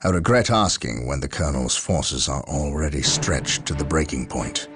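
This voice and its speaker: Deep-voiced, stern space marine captain. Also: male voice, lively intonation, music in the background. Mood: grave. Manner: commanding, formal, measured. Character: stern, resolute male space marine captain, middle-aged veteran commander. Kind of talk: dramatic scripted game cutscene acting.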